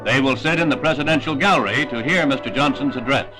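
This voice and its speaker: A man's voice: medium pitch, quick pace. A man with an American accent narrating a 1960s newsreel in a formal, declamatory announcer style. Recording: 1960s newsreel soundtrack with music. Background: newsreel music.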